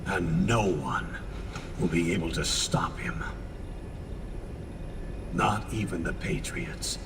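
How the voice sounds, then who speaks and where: A man playing a grave older commander in a video game, solemn, measured and authoritative. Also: sits low, lively intonation, gravelly, heavily acted. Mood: solemn, urgent. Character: grave older male commander briefing his operatives. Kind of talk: dramatic video game acting. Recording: distant, reverberant, noisy vehicle ambience.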